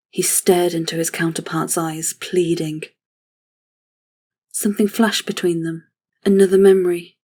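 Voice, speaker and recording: Casting: female narrator for fantasy audio drama; lowish, breathy, restrained and even delivery. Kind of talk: scripted dramatic story narration.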